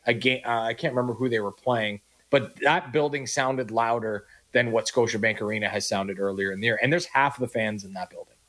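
A man's voice: mid-range voice, brisk, few pauses, fairly bright tone. A man co-hosting a sports radio show, chatting casually and reading out listener texts on a studio mic. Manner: conversational, reads listener texts.

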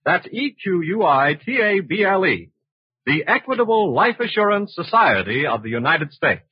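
A man's voice: high voice, sing-song, normal speed, slightly rough, no room sound. A man announcing and selling in 1940s radio style, with an emphatic, polished salesman's delivery. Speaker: radio announcer and sponsor spokesman. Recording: muffled old radio broadcast recording.

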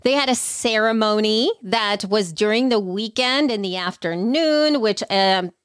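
A woman's voice: medium pitch, measured pace, smooth, dry recording, clean studio sound. A woman chatting casually as a podcast host, with an enthusiastic, emphatic way of talking.

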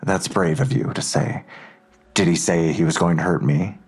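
An actor playing a worried, protective father in an audio drama, husky and earnest, reassuring but insistent. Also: deep voice, moderate pace, a little mellow, heavily acted.

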